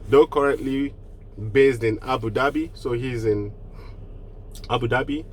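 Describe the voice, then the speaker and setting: A man's voice: medium pitch, measured pace, darkish tone, slight background noise. A man from Nigeria with a cold, giving earnest, casual advice on online business straight to the camera and often restarting his phrases. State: has a cold.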